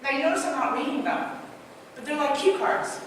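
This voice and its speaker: Trinidadian woman, high voice, motivational stage talk, energetic, echoey hall heard from a distance. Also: fairly quick, a little hiss or hum. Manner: energetic, asks the crowd questions, tells personal stories. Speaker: motivational speaker. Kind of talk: live stage talk to an audience. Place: large hall with an audience.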